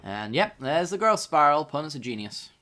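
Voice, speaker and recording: A man's voice: fairly high pitch, very animated, brisk, bright, forceful tone. A man giving casual, chatty card game commentary with dry sarcasm, still getting over a tickly sore throat. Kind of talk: gameplay commentary.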